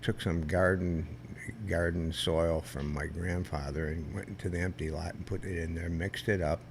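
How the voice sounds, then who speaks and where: An older man, a veteran guest, telling stories in a rambling, halting way with many restarts and a loud voice that carries. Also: sits low, steady tone, slight background noise. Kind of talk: casual storytelling interview.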